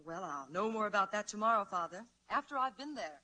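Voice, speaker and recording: Spirited, bright-voiced young lady. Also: mid-range voice, expressive, a little fast, soft background music. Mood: arch, confiding. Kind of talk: 1940s radio acting.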